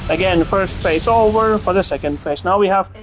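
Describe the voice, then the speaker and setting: A man from Finland, casually commenting on a video game and chatting with viewers, relaxed and repeating words as he thinks aloud. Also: high-pitched, moderate pace. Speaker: gaming streamer. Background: game music and sound effects.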